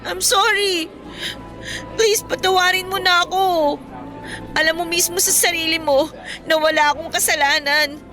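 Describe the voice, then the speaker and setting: A woman's voice: extremely high pitch, fairly even intonation, unhurried, long pauses, pushed and bright, faint music behind, exaggerated voice. An actress playing an insecure, pleading teenage girl student with a Filipino accent in a radio romance drama. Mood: tearful pleading. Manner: pleading, emotional.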